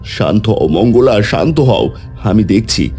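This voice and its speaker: Man acting a frightened father, animated and anguished. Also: fairly low pitch, measured pace, faint music behind. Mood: uneasy. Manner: anguished, hesitant, stammering. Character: frightened, remorseful father, a wealthy householder. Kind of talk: dramatic cartoon story acting.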